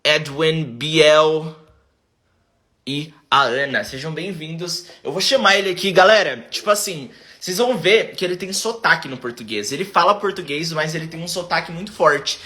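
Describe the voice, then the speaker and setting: A Brazilian man with a Brazilian accent hosts a chatty live stream, his voice bright and a little high for a man. He talks straight into a phone set on a tripod, moving easily between Portuguese and English. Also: moderate pace. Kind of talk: casual live-stream chat.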